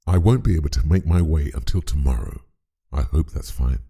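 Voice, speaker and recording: Tired dutiful priest, really dark gravelly voice, pitch moves around a lot, radio drama style. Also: male voice, animated, a little fast, full character voice. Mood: earnest, dutiful. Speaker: voice actor. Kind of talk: audio drama acting.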